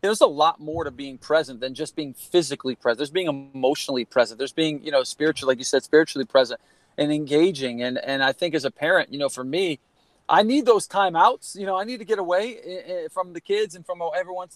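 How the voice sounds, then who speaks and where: Man, about 40, from Connecticut, earnest, rambling, slightly high voice, dry close sound. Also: a little fast, no echo. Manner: earnest, rambling, frequent restarts and "you know". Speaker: guest, former college baseball player. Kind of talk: candid storytelling in a podcast conversation.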